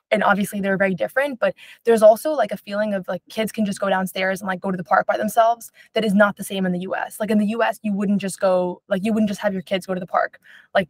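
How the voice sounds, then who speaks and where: A young woman from Israel talks about basketball at a fast clip, answering interview questions. Her speech is loose and chatty, dotted with "um" and "like." The recording is dry and close, with no room sound. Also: medium pitch, dry recording. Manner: fast, chatty, lots of fillers like "um" and "like". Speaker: basketball reporter.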